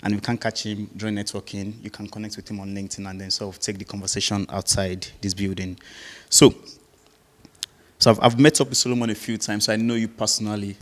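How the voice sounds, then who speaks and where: A man's voice: lowish voice, steady tone, quick pace. A man hosting an informal live interview with a guest at a business event.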